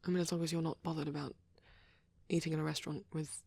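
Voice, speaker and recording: A man playing a shy, devoted vampire boyfriend in an intimate ASMR roleplay, gentle, hesitant and stammering, with a breathy voice. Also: fairly high pitch, flat delivery, fast, no echo. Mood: shy, anxious. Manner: hesitant, stammering, soft and rambling.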